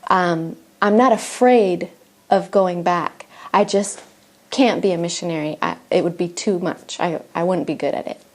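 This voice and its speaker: A woman's voice: on the low side, a little slow. A middle-aged woman from Argentina, a native Spanish speaker, telling her personal faith story in an earnest, reflective way with some restarts. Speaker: missionary. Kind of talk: personal testimony.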